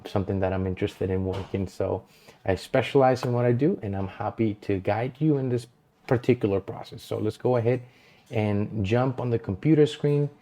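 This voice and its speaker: A man's voice: fairly low pitch, warm tone. A man, talking to camera from a small home studio in an easygoing, earnest way as a real estate agent introducing himself. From Colombia. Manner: easygoing, earnest, loose filler-heavy delivery. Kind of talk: self-introduction vlog. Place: small dim home studio with colored lights.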